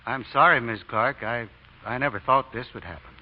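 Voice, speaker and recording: An American actor playing a folksy, polite deacon with a sly show of naivety, in old-time radio drama style. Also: male voice, medium pitch, a little fast, close to an everyday voice. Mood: gentle concern. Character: folksy mild-mannered deacon, slyly feigning innocence at cards. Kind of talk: 1930s-40s radio drama acting. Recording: dull, noisy old radio recording.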